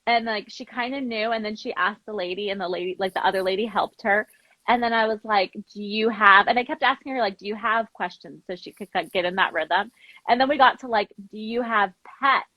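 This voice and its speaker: A woman's voice: medium pitch, fairly quick, bright, forceful tone, no echo, low-quality recording. A woman chatting informally as a guest in a casual interview, telling stories and acting out bits of dialogue. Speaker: interview guest, language program founder. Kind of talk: casual live interview chat, animated storytelling.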